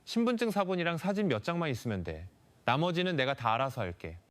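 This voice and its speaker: A smooth, calculating recruiter in his thirties from an audio crime story, read by a male narrator. He coaxes with overconfident ease, in a lively, varied voice. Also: fairly high pitch, fast talker. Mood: smooth, coaxing. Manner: smooth, confident, coaxing.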